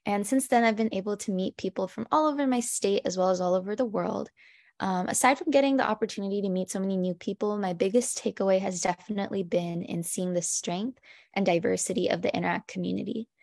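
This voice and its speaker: A woman's voice: medium pitch, fast talker, vocal fry, darkish tone, dry recording, studio quality. A teenage girl from the United States answering panel questions earnestly over a video call. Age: teens. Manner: earnest, enthusiastic. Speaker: youth panelist.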